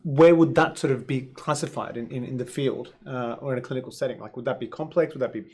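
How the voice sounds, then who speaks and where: A man's voice: medium pitch, fast, darkish tone. A man hosting a panel discussion, putting questions in an informal, conversational way and often checking in as he goes. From India. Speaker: host.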